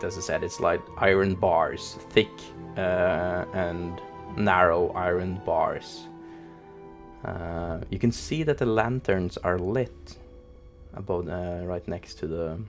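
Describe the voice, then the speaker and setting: A Norwegian-accented man runs a tabletop game in a very low, unhurried voice. He narrates haltingly, with many fillers, and faint music plays behind him. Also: gravelly. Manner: slow, hesitant, full of fillers. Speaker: game master.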